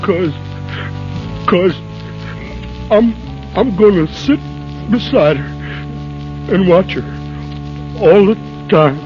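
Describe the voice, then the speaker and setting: An old radio play, dull in sound, with music behind. a plaintive little dwarf who is excitable and tender-hearted, his high voice hesitating over repeated words. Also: very slow, long pauses, full character voice. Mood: tearful. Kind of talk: 1930s radio acting. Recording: muffled vintage 1930s radio recording.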